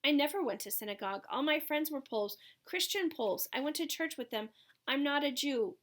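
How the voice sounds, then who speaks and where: A woman's voice: sits high, a little fast, fairly bright tone, voice pushed up. A woman voicing a frightened, desperate boy prisoner who pleads urgently for his life in an audiobook story. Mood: desperate pleading.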